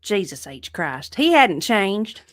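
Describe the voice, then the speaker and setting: A woman playing a sharp-tongued, exasperated restaurant partner in a romance drama, brash and sarcastic. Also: mid-range voice, very animated, slow, no echo, studio quality. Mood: scornful, exasperated. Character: Justine, sharp-tongued, exasperated, sassy female kitchen partner.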